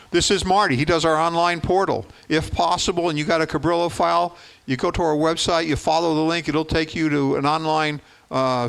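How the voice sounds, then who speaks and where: A man in his seventies presents to a club in an informal, explanatory way. His voice is smooth and clear, pitched a little high for a man. He is folksy and plain-spoken, leaning on repeated words for emphasis. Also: fairly bright tone. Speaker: contest organizer giving a presentation.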